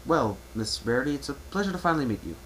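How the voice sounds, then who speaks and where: A man's voice: medium pitch, very animated, fast talker, a bit bright, pitched above the performer's own voice. Casting: man reading as young male pony traveler, reserved, courteous, casual, over faint home-recording noise. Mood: friendly, earnest. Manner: polite, hesitant, casual. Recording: noisy amateur home recording.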